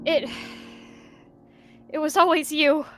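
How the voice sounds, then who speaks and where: A woman voicing a distraught, furious female warrior cat who shouts in desperation and breaks into cries. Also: high-pitched, fairly even intonation, slow pace, soft background music, voice pushed up. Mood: anguished. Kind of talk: voice-acted roleplay dialogue.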